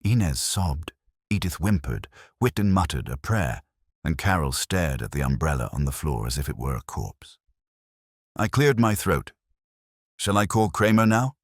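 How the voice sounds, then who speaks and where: Detective fiction narrator, male: very deep, husky voice, dry close sound, dramatic scripted read. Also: very animated, normal speed, no room sound. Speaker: audiobook narrator.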